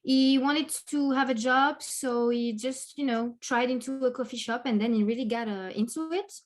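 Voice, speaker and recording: A French woman, a cafe chef, chatting in English as an interview guest from her apartment, pausing to search for words. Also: high-pitched. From France. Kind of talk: casual interview chat.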